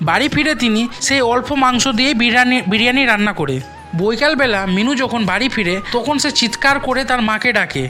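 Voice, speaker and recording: A woman's voice: fairly high pitch, fast talker, sharp tone. A woman reading scripted storybook narration for a cartoon moral tale. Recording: music behind the voice.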